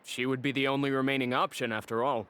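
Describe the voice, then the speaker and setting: A man's voice: mid-range voice, flat delivery, fast, fairly bright tone, slight background noise, lightly acted. An actor playing an evasive, polite male animal shelter director in a video game. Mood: polite, apologetic. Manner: hesitant, explaining, polite. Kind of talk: English game dub dialogue.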